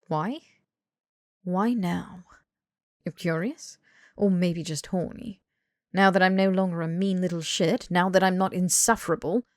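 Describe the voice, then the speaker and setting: A woman's voice: on the low side, fairly even intonation, unhurried, pauses often, no echo, studio quality, natural voice. A woman voicing a petulant, defensive and proud young British man in audiobook dialogue, challenging and indignant.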